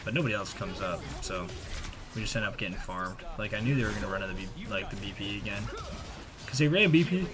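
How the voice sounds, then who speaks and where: Young male gaming creator, low register, loose slangy play-by-play, game music clearly behind him. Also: lively intonation, fast talker. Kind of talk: casual voice-over gameplay commentary. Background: game music and effects.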